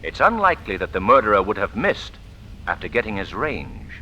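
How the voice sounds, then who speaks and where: Self-assured male avenger, incisive and very sharp, dramatic rises and falls, declamatory, old-time thriller, faint recording noise. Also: fairly low pitch, very animated, fairly quick, lo-fi sound. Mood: commanding. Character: commanding, self-assured gentleman sleuth and mysterious avenger. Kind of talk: 1940s radio acting.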